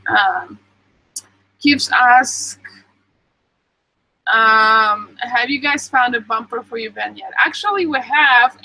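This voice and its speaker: A woman's voice: fairly high pitch, sharp tone, dry recording, low-quality recording. A young woman casually reading and answering viewer comments on a live stream, with lots of ums. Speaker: vlogger reading live chat.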